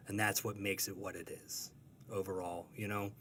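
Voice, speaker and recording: A man's voice: lowish voice, flat delivery, normal speed, sharp tone, slight background noise. A man who is a cartoonist, talking casually in an interview, rambling and correcting himself, laughing easily. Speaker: cartoonist guest.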